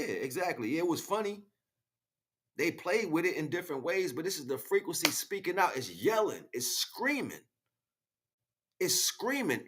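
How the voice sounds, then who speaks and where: Husky American man preaching freestyle. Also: a little high. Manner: emphatic, repeats phrases, street slang. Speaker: spiritual livestream speaker. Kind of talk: freestyle spiritual monologue, preaching.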